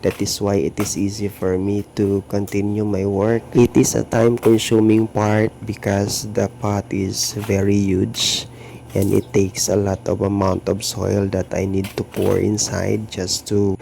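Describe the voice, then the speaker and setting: A man with a Filipino accent narrating a home gardening vlog in a slow, earnest way. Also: lowish voice, level tone, vocal fry, a little mellow, a little hiss or hum, poor audio. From Philippines. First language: Tagalog or Filipino. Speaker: gardening vlogger.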